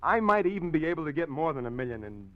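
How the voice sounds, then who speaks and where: An actor playing a conflicted con man in a 1940s radio drama, speaking in a nervous, halting way. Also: on the high side, big pitch swings. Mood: tense. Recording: dull old radio broadcast.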